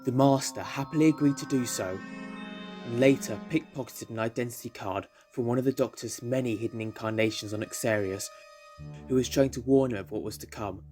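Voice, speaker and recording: A man's voice: medium pitch, a little fast, mellow. A man reading scripted narration for an audio drama, with music playing behind him. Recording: music under the voice.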